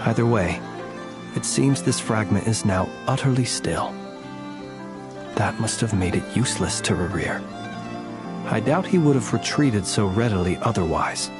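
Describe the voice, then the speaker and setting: Courtly, world-weary warrior, formal speech. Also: male voice, lowish voice, expressive, unhurried, long pauses, loud music behind the voice. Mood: solemn, resolute. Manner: formal, archaic, eloquent phrasing. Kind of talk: video game voice acting, scripted dialogue.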